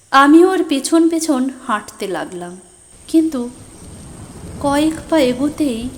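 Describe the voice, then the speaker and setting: Woman acting anxious, slow-paced young storyteller, high voice. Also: darkish tone, a little hiss or hum. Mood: uneasy, bewildered. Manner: emotive, suspenseful, drawn-out delivery. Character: Nandini, anxious young woman recounting an eerie night. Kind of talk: dramatic scripted first-person story narration. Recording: music behind the voice.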